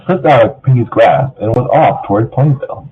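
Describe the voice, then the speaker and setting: Male amateur narrator, husky, very animated storytelling with casual asides, thin phone-like sound. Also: mid-range voice, fairly quick. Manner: breaks off to joke with co-reader. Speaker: narrator of fan fiction.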